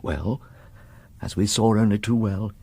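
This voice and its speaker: An actor playing a grave, resolute man who summons dark powers in a radio horror drama, husky and intense, breaking into whispers. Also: on the low side, unhurried. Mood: grim recounting.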